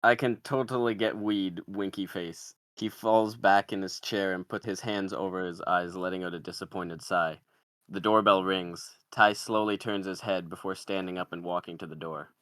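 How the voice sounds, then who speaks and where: A man with a low, forceful, bright voice reads out screenplay stage directions. The recording is dry and close, and the delivery is scripted narration for a table read. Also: fairly even intonation, normal speed, no room sound.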